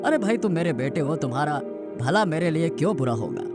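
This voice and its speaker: A man's voice: on the high side, big pitch swings, brisk. A man playing an old village farmer father in a cartoon moral story, admonishing and worried. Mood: solemn, warning. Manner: exhorting, admonishing. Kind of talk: animated moral story dialogue. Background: background music.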